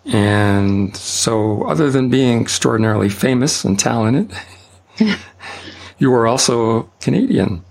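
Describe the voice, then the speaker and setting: A man hosting a tech podcast and interviewing a guest, speaking haltingly with frequent "uh"s and restarts. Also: medium pitch, lively intonation, vocal fry, a little mellow. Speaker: podcast host.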